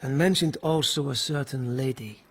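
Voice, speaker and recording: An actor playing a weary but dogged police lieutenant in a radio period mystery, speaking hesitantly and with earnest respect. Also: male voice, medium pitch, moderate pace, fairly bright tone, husky. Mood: deferential, uneasy.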